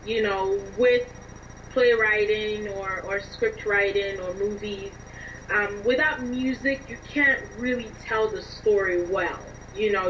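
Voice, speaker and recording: A woman being interviewed as a writer and musician, answering conversationally in an expressive, emphatic way. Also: medium pitch. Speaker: interviewed playwright and songwriter. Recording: dull, distant mic with faint noise.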